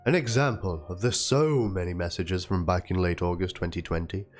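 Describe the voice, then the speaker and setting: A man with a fairly low voice performs a dramatic reading of a written document. He narrates it theatrically. Faint music plays behind his voice. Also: normal speed. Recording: music under the voice. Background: background music.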